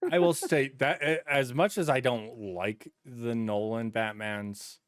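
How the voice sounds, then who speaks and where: A man chats casually on a podcast in an animated way, often slipping into acted-out quotes. His mid-pitched voice is recorded very dry. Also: slow pace, smooth, no room sound. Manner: animated, acts out imagined quotes. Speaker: podcast host.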